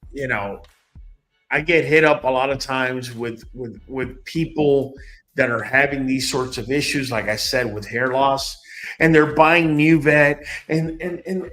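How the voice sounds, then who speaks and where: A man's voice: mid-range voice, fairly bright tone. A man hosting a casual livestream, talking straight to his viewers in a chatty way and often repeating words. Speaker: livestream host.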